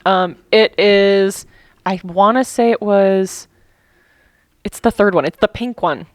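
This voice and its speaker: A woman co-hosting a podcast, joking and swearing casually in relaxed chat. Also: medium pitch, slow, no room sound, clean studio sound. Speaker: podcast co-host.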